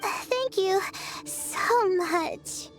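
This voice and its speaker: A woman's voice: very high voice, very slow, music in the background, full character voice. A woman voicing a timid, self-doubting young female student in an anime-style game, hesitant, bashful and earnest.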